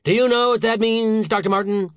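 A furious older government secretary, acted out by a male audiobook reader, blustering and hectoring. The voice is pushed high and loud as he howls and snaps with theatrical indignation. The recording is close and dry. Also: lively intonation, fast talker, no room sound. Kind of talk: audiobook character voice.

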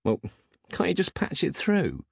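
A man's voice: low voice, sing-song, moderate pace, darkish tone, slightly rough, no room sound, clean studio sound, full character voice. A man playing a self-important, jumpy comic hero in an audiobook, whining, huffing and grumbling like a sulky teenager. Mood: huffy, whining. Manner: whiny, huffy, grumbling.

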